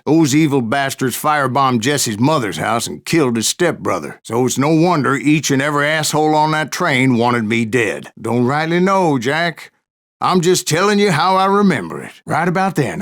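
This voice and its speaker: A stubborn old gunslinger from a Western video game. His voice is full of gravel and fry, with a folksy American West drawl. He tells his tall tales like a cantankerous, foul-mouthed saloon storyteller. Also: medium pitch, fairly bright tone. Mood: irritated, tipsy. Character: gruff, stubborn old Wild West bounty hunter spinning tall tales. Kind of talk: storytelling narration, rambling saloon yarn.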